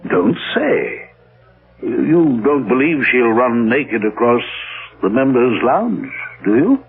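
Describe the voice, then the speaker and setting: Slow, fussy, inquisitive clubman. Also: mid-range voice, animated, creaky, breathy edge, narrow, tinny audio, heavily acted. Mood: curious, incredulous. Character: inquisitive, baffled, prim gentlemen's club member. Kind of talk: 1970s radio drama acting. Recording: thin, band-limited vintage radio.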